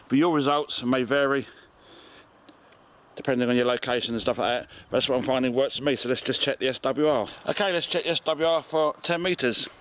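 A middle-aged man with a British accent giving a casual hands-on demonstration, recorded outdoors in a garden. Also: medium pitch. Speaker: amateur radio video presenter.

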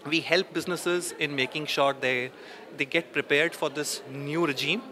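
A man's voice: a little high, steady tone, normal speed. A man in his thirties, a tax advisor, speaking fluently with many "you know"s in an event interview on a handheld mic. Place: busy indoor event, press backdrop. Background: crowd chatter.

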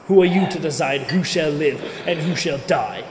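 An actor playing a stern, mocking ghostly spirit in an audio play, speaking in a solemn, declamatory manner. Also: male voice, a little high, moderate pace, a little hiss or hum, natural voice. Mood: stern rebuke. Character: stern, mocking male Christmas spirit, grave and scolding. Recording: echoing hall reverb with music.

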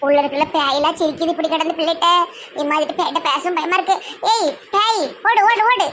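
A woman's voice: very high voice, fast talker, background noise. A woman playing a superstitious, scolding mother in a comic family skit, nagging and lamenting in a southern Tamil Nadu accent. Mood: panicked.

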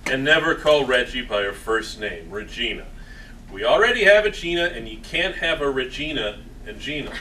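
A man's voice: medium pitch, animated, moderate pace, pushed and bright. A man playing a jokey coffee shop worker in his twenties at a comedy table read. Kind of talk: comedy table read before a live audience. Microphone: far camera. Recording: distant stage recording with some noise.

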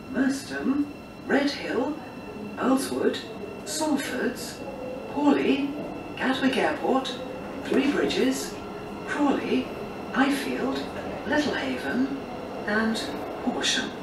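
Woman, British accent, onboard train announcer, lively pitch, echoey distant recording with heavy noise. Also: extremely slow, pauses often. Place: inside a train carriage. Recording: noisy train carriage, roomy, distant microphone.

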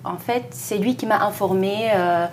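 A woman's voice: mid-range voice, unhurried, background noise. A young woman from southern Morocco, a graduate student, answering in a studio TV interview with a hesitant delivery full of fillers. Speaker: graduate student, committee volunteer. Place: small TV studio. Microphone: lapel.

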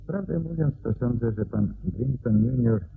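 Hesitant, sickly bookkeeper, tinny sound. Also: male voice, medium pitch, lively intonation, normal speed, creaky. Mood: obliging, nervous. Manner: hesitant, stammering, polite. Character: sickly male estate bookkeeper, hesitant and nervous. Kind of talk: radio play acting. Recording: tinny band-limited radio recording with hum.

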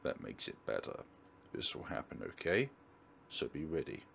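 A man's voice: very deep voice, wildly swooping pitch, normal speed, creaky, a bit bright, slight background noise, pitched below the performer's own voice, exaggerated voice. A man voicing a predatory, controlling male school teacher from a visual novel, with an insinuating, menacing manner. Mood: quietly menacing. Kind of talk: dramatic visual novel reading.

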